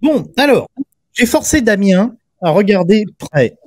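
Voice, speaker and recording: High-voiced Frenchman chatting as host. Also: very animated, a little slow. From Berry, France. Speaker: film review show host. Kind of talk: casual chat.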